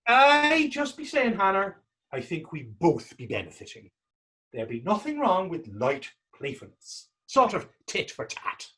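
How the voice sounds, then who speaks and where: A man acts a witty, skeptical Irish drifter from a period stage play. His voice is husky and very lively, rising and dropping with wry, sardonic turns. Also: sits high, measured pace, full character voice. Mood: sly, playful. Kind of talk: stage readers-theater dialogue in Irish period dialect.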